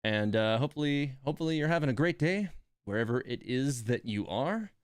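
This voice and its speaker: A man's voice: mid-range voice, expressive, brisk, clean tone, no room sound. A man hosts a livestream in an informal, conversational style. His voice is clean and resonant, in a dry recording with no room sound. He rambles along casually as he addresses his viewers. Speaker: game livestream host.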